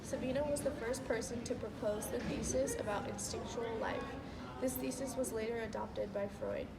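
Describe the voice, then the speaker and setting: A young woman with a gravelly, creaky voice reads her section of a class presentation. She is far from the mic in an echoey room, with loud background noise. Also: on the high side, fairly even intonation, normal speed, loud music behind the voice. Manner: reads a script with occasional restarts. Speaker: student presenter.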